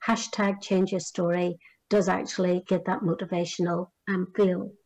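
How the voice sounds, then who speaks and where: A middle-aged woman from Northern Ireland addresses a conference, speaking at a measured pace. She often pauses on small fillers and starts her phrases over. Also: on the low side, level tone, darkish tone, low-quality recording. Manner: measured, with frequent fillers and restarts. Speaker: conference speaker on career guidance.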